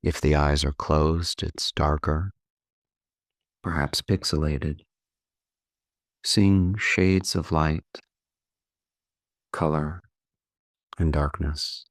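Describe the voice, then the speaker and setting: A man leading a guided meditation as a coach, calm and unhurried, gently instructive with long pauses. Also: very deep voice, dry recording, clean studio sound.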